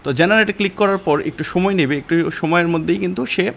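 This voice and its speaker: A man with a Bangladeshi accent teaching a step-by-step software lesson in informal, colloquial speech. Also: sits high, quick pace, creaky, slight background noise. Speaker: online course instructor.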